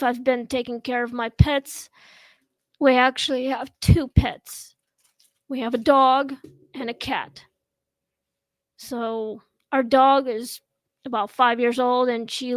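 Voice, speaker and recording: A woman in her thirties from Russia, hosting a casual home chat show and talking in a slow, halting way with many pauses. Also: a little high, no room sound. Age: 30s. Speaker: host.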